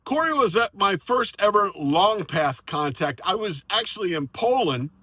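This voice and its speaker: American man, bright and high, dry recording, eager ham radio host telling stories casually. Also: expressive, moderate pace, no room sound. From United States. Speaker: amateur radio operator and video host. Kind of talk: casual storytelling and on-air radio chat.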